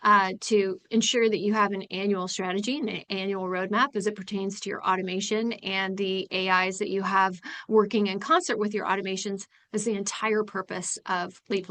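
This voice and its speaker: A woman's voice: medium pitch, bright, forceful tone. A woman presenting in a business webinar, stressing and repeating her key points. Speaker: webinar presenter, company founder.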